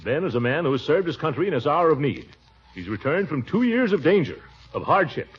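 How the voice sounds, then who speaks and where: A man's voice: fairly high pitch, sing-song, brisk, husky, exaggerated voice. A man playing a pompous, blustering middle-aged uncle in 1940s radio sitcom acting. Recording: dull 1940s radio broadcast with faint hiss.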